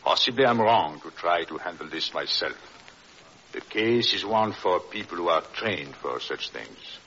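A sharp, low-voiced domineering husband. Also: male voice, expressive, full character voice. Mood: ominous, controlled. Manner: deliberate, domineering, grave. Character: controlling, coldly menacing husband feigning concern. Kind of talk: 1940s radio drama acting. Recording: hissy, dull old radio recording.

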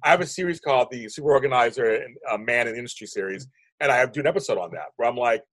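A man in his fifties with a deep voice, speaking as a guest in an interview, rambling and emphatic, often restarting his sentences. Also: lively intonation, racing, a bit bright, dry recording. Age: 50s. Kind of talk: animated conversational interview.

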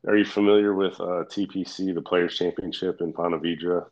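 Brief: low-voiced man, blunt, rambling, casual swearing; dull lo-fi audio. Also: fairly even intonation, moderate pace, darkish tone. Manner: rambling, frank, swears freely, laughs often. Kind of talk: casual chat.

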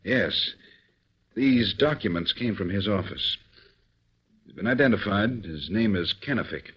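An actor playing a grave, authoritative government official in an old radio drama, with a husky voice. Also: male voice, fairly low pitch, lively intonation. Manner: measured, authoritative. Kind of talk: 1940s radio acting. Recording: dull old radio recording.

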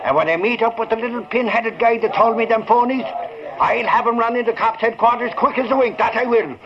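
Blustering Irish newsman, high-pitched and indignant, muffled vintage radio drama sound. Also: brisk. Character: blustering, hot-tempered Irish newspaper reporter. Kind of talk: 1940s radio acting. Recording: muffled old radio recording, crowd murmur.

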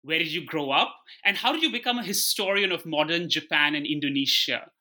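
A man speaks as a podcast host in a bright, crisp-edged voice. His delivery is prepared and orderly, suited to introducing a guest and posing interview questions. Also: fairly high pitch, animated, fairly quick.

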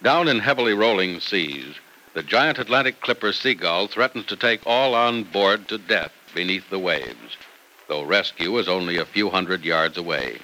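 A man works as an old-time radio announcer, reading scripted copy with theatrical emphasis. His voice is very sharp and forceful and moves widely in pitch. It sounds like a vintage broadcast. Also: expressive, normal speed, a little hiss or hum, rough recording.

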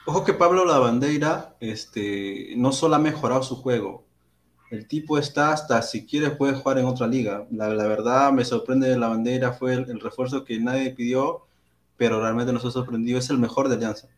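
A Peruvian man co-hosting a football podcast, giving animated opinions with many fillers. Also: medium pitch, normal speed. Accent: Peruvian Spanish. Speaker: podcast co-host. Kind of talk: casual football talk.

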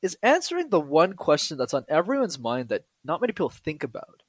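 A young man from Canada, a guest on a podcast, explaining public speaking in a lively, joking way. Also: on the high side, a little fast, dry recording, studio quality. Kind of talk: casual podcast interview.

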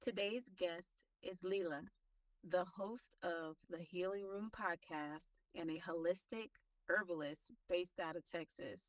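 A woman's voice: a little slow, no room sound, distant mic, poor audio. A woman co-hosting a podcast in casual chat, enthusiastic and acting out her own quotes. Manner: enthusiastic, acts out quotes with big pitch jumps. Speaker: podcast co-host.

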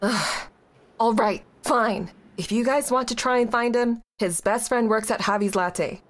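A woman's voice: a little high, measured pace, natural voice. An actress playing a young woman in a grief support group, evasive at first and then blunt and exasperated. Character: young woman, guarded and impatient. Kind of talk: audio drama acting.

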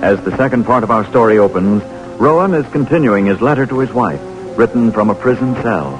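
A man's voice: fairly low pitch, expressive, brisk, dull audio, music in the background. A man giving scripted dramatic narration as a 1940s radio narrator, on an old recording.

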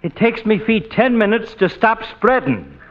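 Grumbling cop, old radio. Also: male voice, high-pitched, very animated, unhurried. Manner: grumbling, stage-Irish phrasing. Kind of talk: 1940s radio sitcom acting. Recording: muffled old radio recording with faint hiss.

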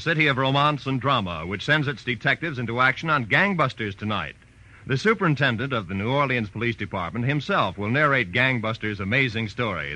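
A man's voice: medium pitch, sharp tone, poor audio. A man with an American accent reading as a network announcer in 1940s radio style. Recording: vintage radio broadcast with music.

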